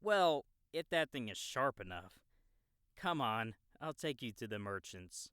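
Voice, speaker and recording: A man voices a young male gamer guide in an audiobook drama. He is cocky and casual, with slangy, teasing talk. His delivery is slow and clean, recorded dry and close. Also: medium pitch, sing-song, a bit bright, clean tone, dry recording. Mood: explanatory. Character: cocky, laid-back young male gamer guiding a newcomer. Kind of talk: audiobook character dialogue in a game-world story.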